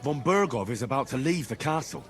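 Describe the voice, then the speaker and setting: An actor playing a patient, practical young commoner in a video game, down-to-earth and a little wry. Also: male voice, mid-range voice, animated, fairly quick, a bit bright, soft background music. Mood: earnest. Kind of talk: dramatic video game dialogue.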